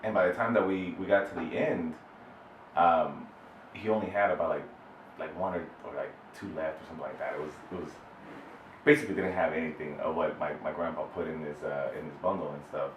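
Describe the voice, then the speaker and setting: A man from El Salvador telling a personal story off the cuff, in a halting, relaxed way with many fillers and restarts. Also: a bass voice, dull audio, slight background noise. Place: Houston interview space. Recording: roomy distant mic with faint ambience.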